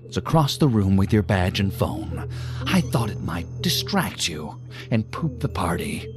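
An actor playing a menacing, talkative intruder with dark sardonic humour in an audio drama, taunting and rambling as he goes. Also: low voice, a little slow. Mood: taunting, playful. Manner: taunting, rambling, deadpan-joking. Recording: music under the voice.

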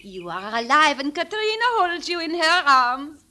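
An actress in a 1940s radio drama playing a naive, sweet young farm daughter who giggles and speaks simple broken English. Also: female voice, high-pitched, sing-song, moderate pace, sharp tone, heavily acted. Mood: giggly.

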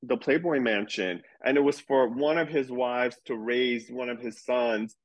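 A man's voice: mid-range voice, fairly even intonation, a little slow, poor audio. A man talking candidly and animatedly in a casual podcast conversation, swearing now and then as he explains his views. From grew up in a Persian community. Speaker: reality TV star guest.

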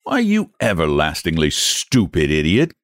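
A man voicing an enraged, sarcastic customer who shouts and sputters over a shipping fee. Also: sits low, huge pitch swings, moderate pace, no echo, clean studio sound, pitched below the performer's own voice. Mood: furious. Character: furious, sarcastic man shipping guinea pigs.

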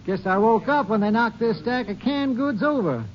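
A folksy American storekeeper, with a notably high voice. He rambles along in clipped, homespun phrases, in old radio drama manner. The vintage recording is dull and noisy. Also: moderate pace. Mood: rambling, unbothered. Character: folksy, rambling male small-town storekeeper. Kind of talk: 1950s radio drama acting.

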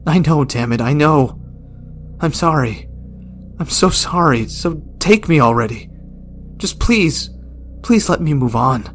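A man voicing a bitter, remorseful dying old man in his later years, desperate, loud and pleading. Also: mid-range voice, slow pace, long pauses, soft background music, close to an everyday voice. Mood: anguished shouting. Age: older.

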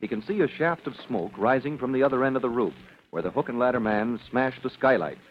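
A man's voice: mid-range voice, lively intonation, fast, close to an everyday voice. An urgent radio serial narrator, played by a man, delivers each phrase with dramatic, declamatory weight. The old recording is muffled, with a faint layer of noise. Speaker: announcer and narrator.

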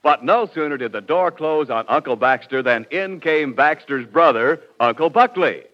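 A man's voice: fairly high pitch, animated, normal speed. A male announcer delivering scripted narration in a polished, emphatic 1940s radio style. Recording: dull vintage radio recording.

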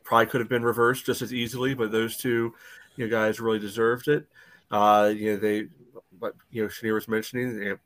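A man's voice: lowish voice, little pitch movement, a little fast, a bit bright. A man co-hosting a sports podcast in casual discussion, chatty and often dropping "you know" into his sentences.

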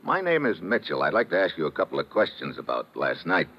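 An actor playing a tough, wisecracking detective in old-time radio drama, with clipped, slangy hard-boiled talk. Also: male voice, low-pitched, wildly swooping pitch, a little fast, exaggerated voice. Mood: determined. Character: tough wisecracking male detective, dogged and wry. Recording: dull vintage radio recording.

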